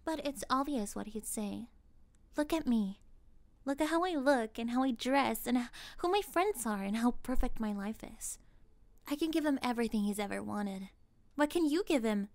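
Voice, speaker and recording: Casting: female actor as spoiled popular mean girl for audio roleplay, high voice with creaky fry, sarcastic and scornful. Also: lively intonation, moderate pace, darkish tone, dry recording. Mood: haughty. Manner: scornful, sarcastic, laughing derisively. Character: snobby mean popular teenage cheerleader girl, spoiled and catty. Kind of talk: ASMR roleplay acting.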